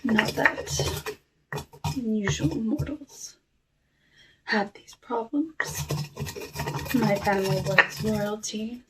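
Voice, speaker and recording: Slow, soft-spoken forest witch played by a woman, noisy recording. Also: on the high side. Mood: wistful. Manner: soft, unhurried, wistful, genteel, drawn-out phrasing. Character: cursed outcast witch of the woods, secretly sinister hostess. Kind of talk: ASMR roleplay, soft talking and whispering. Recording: noisy, with clicks and dish clatter.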